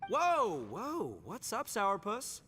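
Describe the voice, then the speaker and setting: A man playing a brash, excitable, hungry young gang boss in a video game, blustering and stammering in slangy speech. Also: sits high, takes it slowly, a little hiss or hum, heavily acted. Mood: indignant.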